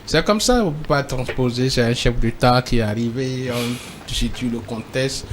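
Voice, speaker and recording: A man speaking as a debate panelist, halting and repeating himself but emphatic. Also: medium pitch, slight background noise. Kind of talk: debate commentary.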